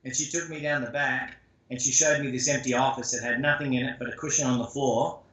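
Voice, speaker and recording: A man's voice: medium pitch, steady tone, quick pace, fairly bright tone, slight background noise. A man telling a personal story in a conversational way as an interview guest. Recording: echoey room.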